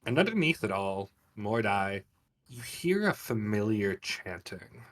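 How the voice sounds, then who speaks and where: A man's voice: lowish voice, very animated, a little slow. A man running a tabletop game as game master, narrating scenes with a descriptive, storytelling delivery.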